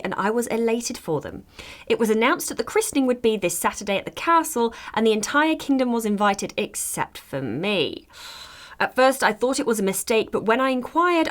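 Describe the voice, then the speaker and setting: A woman playing a fairytale podcast host, reading advice posts aloud in an expressive, conversational way. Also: on the high side, a little fast, close to an everyday voice. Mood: dramatic reading.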